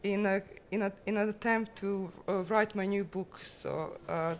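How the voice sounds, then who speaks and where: A woman's voice: mid-range voice, steady tone, measured pace. A woman giving an informal, candid artist talk about their own work to an audience. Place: exhibition room with a seated audience. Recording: noisy room with ambient sound.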